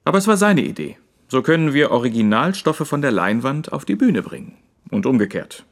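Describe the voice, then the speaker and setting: An actor playing a vain widowed stage actor in a radio play, evasive and defensive while keeping up a smooth front. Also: male voice, medium pitch, very animated, a little fast. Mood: guarded.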